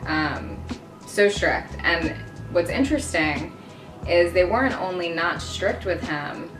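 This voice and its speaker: A woman in her early thirties from New York, telling her story in an animated way in a documentary interview. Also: on the low side, slow pace. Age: 30s. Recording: roomy, distant mic with music bed.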